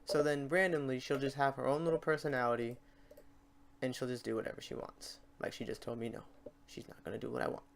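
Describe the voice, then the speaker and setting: A man hosting a software tutorial in a casual, unscripted way, talking to himself as he works through the steps. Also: medium pitch, steady tone, fast talker, gravelly, a little hiss or hum. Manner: informal, talks to himself while clicking through steps. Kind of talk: casual unscripted screen-recorded tutorial narration.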